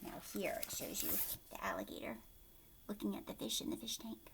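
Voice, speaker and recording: A woman's voice: medium pitch, fairly quick, gravelly, whispered, poor audio. A woman hosting a children's read-aloud, narrating a storybook expressively and breaking off to question her young listeners in an emphatic way.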